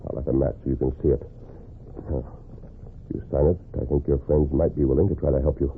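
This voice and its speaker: A man playing a calm, righteous masked western hero in a 1940s radio drama, speaking in a measured, stern voice. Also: very deep voice. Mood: firm, controlled. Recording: tinny, noisy 1940s radio.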